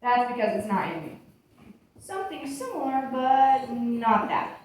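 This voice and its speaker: A woman's voice: on the high side, sing-song, measured pace, darkish tone. Lady doing a talking bible puppet, scolding and preachy, really swoopy voice, far off in an echoey room. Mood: stern teaching. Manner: admonishing, instructive, emphatic. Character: talking dusty Bible, bossy, reproachful teacher. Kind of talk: church puppet show dialogue. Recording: echoey room, distant mic, faint noise.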